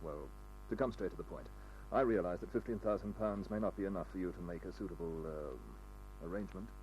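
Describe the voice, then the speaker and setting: Man playing a deep-voiced, earnest young gentleman. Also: fairly quick, natural voice. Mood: polite, hesitant. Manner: courteous, formal, earnest. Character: well-dressed aristocratic young man, polite and earnest. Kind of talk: 1940s-50s radio drama acting. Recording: noisy old radio recording.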